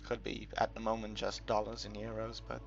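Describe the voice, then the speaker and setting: A man's voice: lowish voice, monotone, a little fast, creaky. A man from the UK giving a casual, unscripted game walkthrough, rambling and hesitant as he thinks aloud. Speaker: gamer making a game tutorial. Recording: muffled, dull recording with background music.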